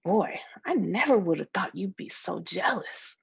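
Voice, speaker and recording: A woman giving an expressive read-aloud of a novel's dialogue, acting out both sides of a conversation. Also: on the low side, measured pace, vocal fry, breathy edge, dry recording, dull audio. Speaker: guest reader of a novel.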